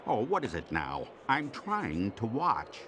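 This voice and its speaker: An actor playing a cranky, sarcastic, long-suffering aging sidekick superhero in a cartoon game. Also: male voice, fairly low pitch, wildly swooping pitch, slow, background noise, exaggerated voice. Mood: irritated. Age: older. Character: grumpy, exasperated aging male sidekick superhero. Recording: music behind the voice.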